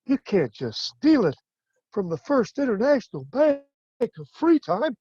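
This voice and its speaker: A woman's voice: fairly high pitch, big pitch swings, a little slow, dark tone, husky, no echo, muffled recording, heavily acted. A woman playing an earnest shoemaker hero who pleads with the villain in a community stage play. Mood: pleading.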